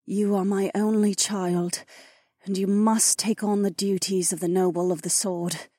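A man voicing a frail, ancient female dragon, a dying and tender mother, in a weak, breathy, murmuring voice for an audiobook. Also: sits high, level tone, measured pace, dark tone, dry recording, studio quality, voice pushed up. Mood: tender, fading. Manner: weary, murmuring.

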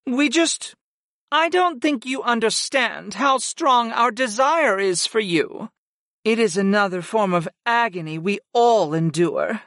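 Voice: animated, a little slow, fairly bright tone, dry recording, studio quality. A narrator voicing a devoted female winged succubus servant from a fantasy audiobook, submissive and pleading, with a sighing purr. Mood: sighing, yearning. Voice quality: high, sighing, purring.

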